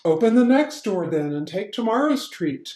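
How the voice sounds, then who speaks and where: A woman voicing a puzzled male schoolteacher in a storybook reading, dry and measured. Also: medium pitch, sing-song, mellow, natural voice. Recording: roomy echo.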